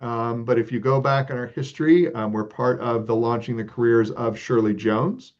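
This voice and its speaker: A man from Texas, a guest leading an arts organization, answering interview questions in a relaxed, earnest way with many ums and uhs. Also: medium pitch, normal speed. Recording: slightly dull lo-fi audio.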